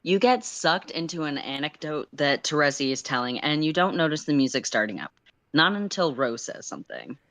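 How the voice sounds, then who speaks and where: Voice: medium pitch, big pitch swings, normal speed, fairly bright tone, dry recording. A narrator reading a story aloud on a casual livestream, with playful, self-deprecating asides.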